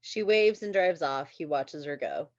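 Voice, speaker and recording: Female narrator for script directions, lower register, dry, slightly dull recording. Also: expressive, a little slow, dry recording. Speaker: stage directions reader. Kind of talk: scripted narration at a screenplay table read.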